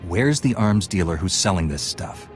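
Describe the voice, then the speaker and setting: An actor playing a confident, direct and helpful knightly hero in a sci-fi video game. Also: male voice, deep voice, expressive, normal speed, over music. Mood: resolute. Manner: direct, resolute. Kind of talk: video game dialogue acting.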